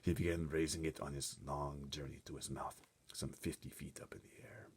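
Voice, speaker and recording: A man reading a children's story aloud as narrator, expressive and dramatic, often dropping into hushed suspense. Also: extremely low pitch, moderate pace, crowd noise.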